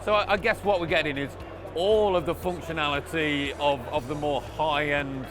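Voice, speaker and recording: A man's voice: a little high, steady tone, moderate pace. A man hosting a conversational product interview, explaining points and prompting his guest with tag questions. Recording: background music under the voice.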